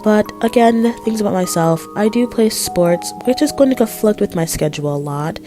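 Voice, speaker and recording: A teenage girl with a raspy voice from a cold, chatting casually in a rambling voice-over with apologetic asides. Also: mid-range voice, lively intonation, normal speed, music in the background. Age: teens. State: hoarse from a cold. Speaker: art vlogger.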